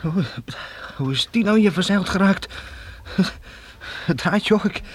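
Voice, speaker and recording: A man's voice: high-pitched, measured pace, breathy edge. An actor playing a bold, impatient young male adventurer in a Dutch radio play, speaking in urgent, clipped commands. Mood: wary. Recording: noisy old radio drama recording.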